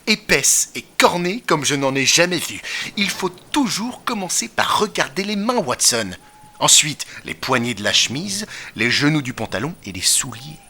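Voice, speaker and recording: A man playing a brilliant, excitable detective in an audio drama, incisive and theatrical as he lectures. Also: on the high side, normal speed, breathy edge, full character voice. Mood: analytical, incisive. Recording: noisy ambience behind the voice. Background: faint ambient noise.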